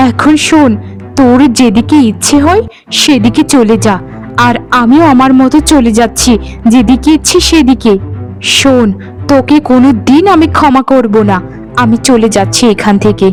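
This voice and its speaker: A woman voicing a scolding, bitter elder co-wife in an animated folk tale, with a melodramatic delivery. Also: fairly high pitch, normal speed, faint music behind, lightly acted. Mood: bitter, accusing.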